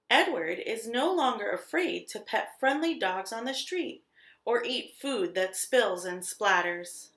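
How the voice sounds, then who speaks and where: Woman, children's storyteller, unhurried slow pace, expressive read-aloud, dramatic emphasis, echoey room sound. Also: a little high. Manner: deliberate, dramatic emphasis on key words. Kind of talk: expressive scripted picture-book narration. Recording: roomy, echoing sound.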